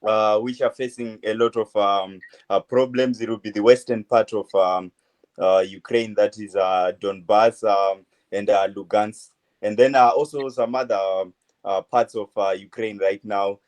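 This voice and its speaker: A man in his twenties from Zimbabwe, a medical student answering interview questions in a halting way, full of uh fillers. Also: fairly low pitch, steady tone, a little mellow. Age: 20s. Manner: hesitant, many uh fillers, halting phrases. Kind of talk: phone interview.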